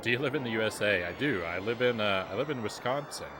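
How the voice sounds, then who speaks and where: A man's voice: fairly low pitch, expressive, fast, music in the background. A man from Kentucky streaming a retro game, reading chat aloud and chatting casually with plenty of ums and uhs. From Kentucky, USA.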